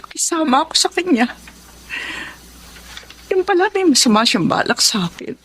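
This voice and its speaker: A woman's voice: sits high, very animated, normal speed, fairly bright tone. A woman playing a wounded, betrayed lady in a Tagalog radio melodrama. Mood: heartbroken. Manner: emotional, dramatic delivery. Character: betrayed emotional well-off woman, tender but wounded.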